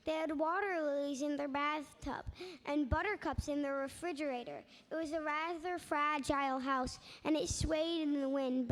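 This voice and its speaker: High-voiced female narrator in echoing hall. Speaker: storybook narrator. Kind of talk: read-aloud narration for children's theatre. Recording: reverberant hall, faint background noise.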